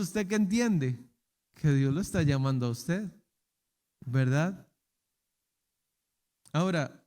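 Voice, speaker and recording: A middle-aged pastor delivers a sermon in a fairly high voice, asking his congregation rhetorical questions and voicing the excuses people make. His words ring out with the long reverb of a church chapel. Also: a little mellow. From Costa Rica.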